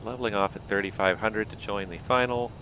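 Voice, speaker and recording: A man flying a simulated airliner, thinking aloud and calling out checklists, with a voice that is going hoarse. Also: on the low side, steady tone, fairly quick, background noise. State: losing his voice. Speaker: flight simulator pilot. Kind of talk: casual cockpit commentary.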